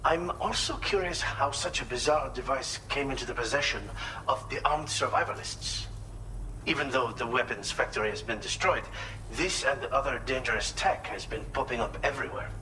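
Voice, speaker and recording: An actor playing a thoughtful, helpful mechanic in a video game, explaining things in a measured, slightly hesitant way. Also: male voice, mid-range voice, animated, sharp tone, a little hiss or hum, low-quality recording. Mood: explanatory, wary. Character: thoughtful male inventor mechanic, earnest and helpful. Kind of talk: video game cutscene dialogue.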